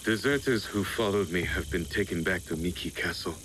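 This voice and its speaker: An actor playing a stern, loyal renegade ronin warrior, speaking formally and earnestly in a video game. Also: male voice, low-pitched, brisk, fairly bright tone, faint music behind, poor audio. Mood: grave, resolute. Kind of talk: scripted video game cutscene acting.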